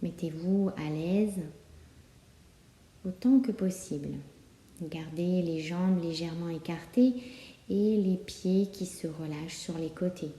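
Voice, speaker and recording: A woman guiding a yoga nidra relaxation, speaking calmly and soothingly with many pauses. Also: on the low side. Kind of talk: guided relaxation meditation. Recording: roomy, echoing sound.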